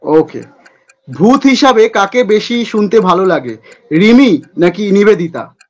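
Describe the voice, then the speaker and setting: A man hosting a playful quick-fire question segment in a chatty interview style. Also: high-pitched, lively intonation.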